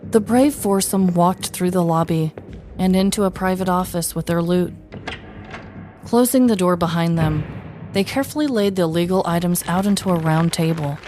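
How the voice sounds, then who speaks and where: A woman's voice: on the low side, a little mellow. An American woman in her thirties narrating a scripted comedic story as a podcast host, with a wry, dramatic delivery. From United States. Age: 30s.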